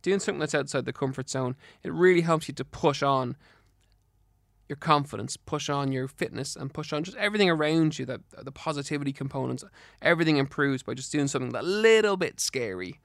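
A man's voice: on the high side, lively intonation, fairly quick, creaky, dry recording, clean studio sound. An Irish man with a slight lisp hosting a health podcast solo, giving advice in an earnest, encouraging, instructive way. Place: studio.

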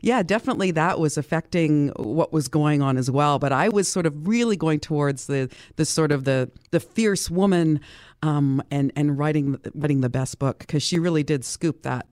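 A woman's voice: low-pitched, moderate pace, darkish tone, no room sound, clean studio sound. A woman in a casual book discussion, talking as a club participant and acting out reactions as she retells things.

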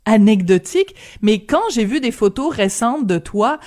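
A woman's voice: on the high side, animated, moderate pace, darkish tone. A woman with a Quebec French accent interviewing a guest, enthusiastic and affirming. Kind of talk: friendly interview.

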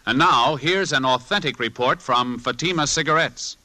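A formal male announcer with a very sharp, forceful voice delivers vintage radio show lines and ad copy. The sound is dry and emphatic. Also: mid-range voice, moderate pace, no room sound.

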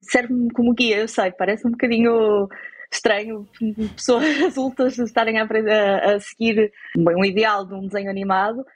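A woman with a European Portuguese accent talking casually and reflectively, in a halting, thoughtful way. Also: fairly high pitch, steady tone, low-quality recording. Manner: halting, thoughtful, repeats words.

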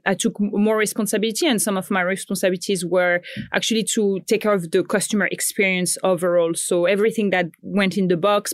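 A woman in her thirties who grew up in Morocco, telling her story in a casual interview, thoughtful and pausing to rephrase often. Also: on the low side, dry recording, clean studio sound. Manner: thoughtful, frequent restarts and fillers. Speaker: founder and guest.

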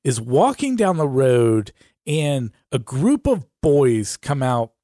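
A man's voice: medium pitch, sing-song, slow pace, warm tone, dry recording. A middle-aged man co-hosting a casual podcast, chatting with dry humour. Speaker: podcast host. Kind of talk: casual conversational podcast talk.

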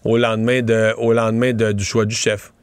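A man's voice: lowish voice, little pitch movement, moderate pace, clean tone, dry recording. A middle-aged man with a Québécois French accent, giving rambling political analysis in a casual chat and often restarting his sentences. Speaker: political commentator.

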